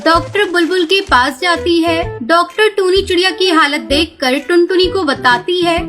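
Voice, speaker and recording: A woman's voice: very high-pitched, moderate pace, fairly bright tone, soft background music. A woman reading scripted narration for a children's cartoon story.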